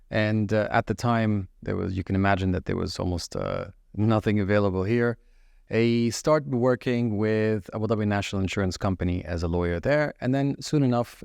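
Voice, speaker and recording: Low gravelly man, lawyer. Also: darkish tone, no room sound, studio quality. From UAE. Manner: measured, with frequent fillers and restarts. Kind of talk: podcast interview answers.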